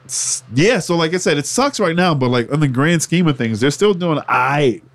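Profane, animated young-sounding man chatting. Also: fairly high pitch, normal speed. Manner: profane, laughing, animated banter. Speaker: podcast co-host. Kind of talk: casual esports chat.

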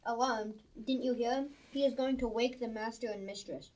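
Woman acting as young boy, raised pitch, wary, sarcastic, questioning, children's audio drama. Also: steady tone, normal speed, a little mellow, close to an everyday voice, poor audio. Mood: alarmed.

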